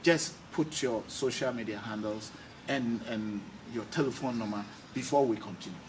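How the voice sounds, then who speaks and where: A man's voice: mid-range voice, normal speed. A man hosting a casual interview, encouraging his guest and often repeating his phrases. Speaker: interview host. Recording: distant mic, clearly noisy background.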